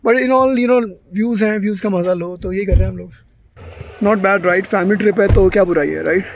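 A man's voice: high voice, moderate pace, vocal fry, slight background noise. A man in his thirties from India chatting casually to viewers as a travel vlogger, switching between languages mid-sentence. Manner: chatty, conversational, addressing viewers. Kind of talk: casual vlog narration to camera, Hindi mixed with English. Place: outdoors at mountain overlooks and inside a car. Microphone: phone at arm's length.

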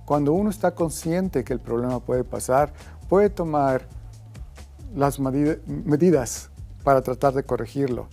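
A man in his fifties answering interview questions about technology in a measured, deliberate way. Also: mid-range voice, dark tone, faint music behind. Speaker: tech expert.

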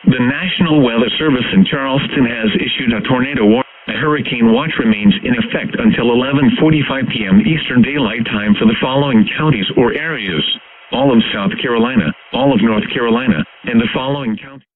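A synthetic-sounding man reads a formal, scripted emergency weather bulletin in a measured, impersonal manner. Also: mid-range voice, a loud crowd. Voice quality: synthetic text-to-speech sound. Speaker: weather alert announcer. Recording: tinny narrowband weather radio broadcast.

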